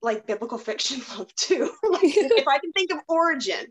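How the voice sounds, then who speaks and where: A woman's voice: sits high, sing-song, moderate pace, fairly bright tone. A woman hosting a casual podcast chat, talking in an effusive, enthusiastic way. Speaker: podcast host.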